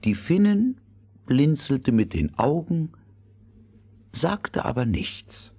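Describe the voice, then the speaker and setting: A man's voice: on the high side, very animated, slow pace, warm tone, slightly rough. A man narrating a scripted fairy tale as the storyteller of an audio drama.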